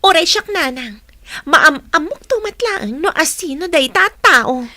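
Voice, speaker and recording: A woman's voice: very high-pitched, expressive, measured pace, sharp tone, dry recording, full character voice. A woman playing an eager, determined young student daughter in an audio drama, in a girlish manner. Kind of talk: Filipino audio drama acting.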